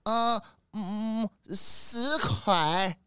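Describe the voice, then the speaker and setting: A woman's voice: mid-range voice, extremely slow, bright, forceful tone, smooth, no room sound, studio quality. A woman voicing a timid, flustered old male goat who stammers and bleats at the ends of phrases, in Taiwanese Mandarin, for a children's audio drama. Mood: nervous, flustered.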